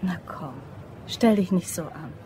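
A woman's voice: fairly low pitch, lively intonation, a little slow, noisy background. A woman playing a teasing, flirty, wry office worker in an audio crime drama. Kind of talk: German radio play dialogue.

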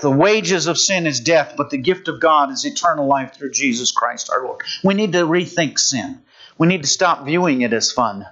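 A man preaching a sermon, reading scripture in an earnest, measured way. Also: on the high side, bright, forceful tone, dull audio.

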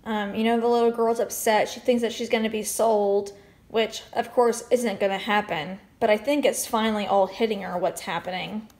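A woman's voice: medium pitch, fairly even intonation, normal speed, a little mellow. A woman reading a storybook aloud expressively as a teacher, with explanatory asides and questions for children.

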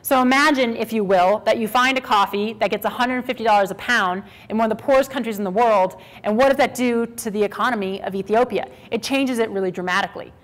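Animated woman presenter from Minnesota. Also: on the high side, fast, hardly any pauses. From Minnesota, USA. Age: 30s. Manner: lively, conversational storytelling. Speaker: presenter giving a talk. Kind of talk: stage presentation to an audience. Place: small stage with brick wall backdrop.

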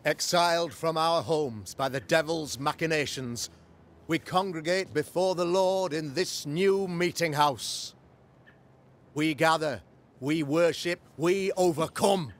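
An actor playing a pompous, pious, middle-aged preacher in a video game, with florid, oratorical delivery. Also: male voice, a little high, takes it slowly. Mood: grandiose preaching. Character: pompous, pious preacher, learned man of the cloth. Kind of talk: theatrical video game acting, sermonizing.

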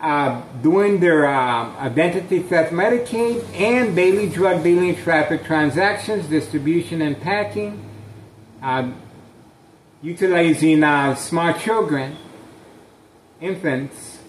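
Slow, halting man with a phone camera. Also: fairly high pitch, expressive, reverberant, slight background noise, low-quality recording. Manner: halting, many fillers, intense and suspicious. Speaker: vlogger filming his street. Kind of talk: slow narrated street surveillance vlog.

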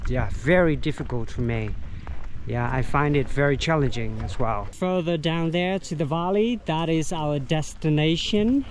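A man with a Vietnamese accent, a tour guide vlogging casually while trekking outdoors, speaking halting English and searching for words, recorded on an action camera. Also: fairly high pitch, background noise. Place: outdoors on a mountain trail. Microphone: action camera carried along.

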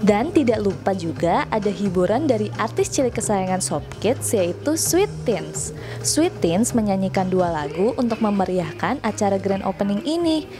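Cheerful woman narrating a kids' show. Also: medium pitch. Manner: upbeat and chatty, speaking directly to young viewers. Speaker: narrator of a children's entertainment news show. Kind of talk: scripted voice-over narration. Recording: voice-over laid over clear background music.

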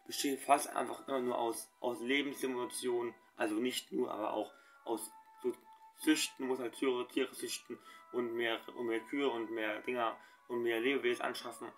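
A man's voice: mid-range voice, flat delivery, normal speed, a bit bright. Rambling young man over faint game music. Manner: rambling, many fillers and restarts. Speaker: let's play host. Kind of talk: casual let's play commentary.

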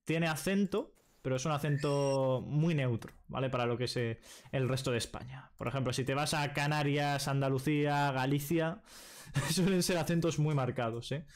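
A young man from Spain streams from a small room at home and chats casually as he plays. His voice is mid-pitched, and he thinks aloud with a steady stream of quick check-ins. He is recorded close on a desk microphone. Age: young adult. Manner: chatty, thinking aloud, fillers like "vale" and "¿vale?". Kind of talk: casual live stream chat while playing a card game. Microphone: desk microphone with headset.

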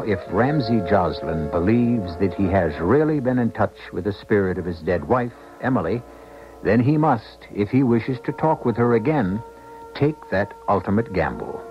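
A man hosting a 1970s American radio mystery, reading scripted narration in a theatrical, portentous manner. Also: fairly low pitch. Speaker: radio drama host. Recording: muffled old radio broadcast, music behind.

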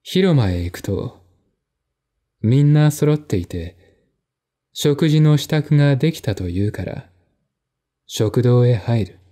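A man reading literary narration in a measured, deliberate, formal manner, as in an audio drama. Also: mid-range voice, animated, warm tone. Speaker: narrator, solo audiobook reader.

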